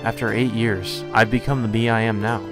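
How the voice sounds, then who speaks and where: A man's voice: on the low side, steady tone, brisk, music in the background, close to an everyday voice. Man reading as brooding, introspective teenage boy. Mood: wistful. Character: brooding, puzzled teenage boy, visual novel protagonist.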